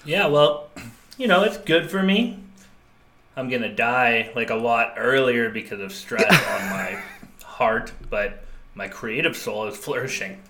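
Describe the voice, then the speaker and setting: A man's voice: mid-range voice, expressive, measured pace, sharp tone, slight background noise. A man co-hosting a casual comedy podcast, talking candidly with self-deprecating jokes. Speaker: podcast co-host. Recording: echoey room.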